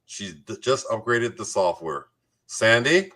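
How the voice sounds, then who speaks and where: A man's voice: on the low side, sing-song, a little slow, fairly bright tone. A man hosting a community talk show, moving between scripted introductions and playful, jokey banter.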